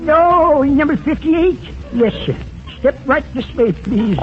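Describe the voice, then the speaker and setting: A man's voice: extremely high pitch, expressive, slow pace, full character voice. An actor playing an apologetic, weary older male waiter, deferential and hesitant, in old radio comedy style. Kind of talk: 1940s radio comedy acting. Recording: muffled, very noisy old radio recording.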